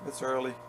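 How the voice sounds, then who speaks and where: A man talking casually as he works on a leather restoration, chatty and self-mocking. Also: medium pitch. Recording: workshop noise behind the voice.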